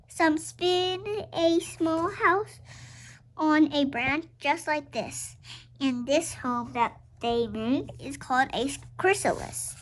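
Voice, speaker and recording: Young girl, very high voice, slow halting read-aloud of a children's book. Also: bright, forceful tone. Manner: halting reading, stumbles and repeats words. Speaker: read-aloud show host.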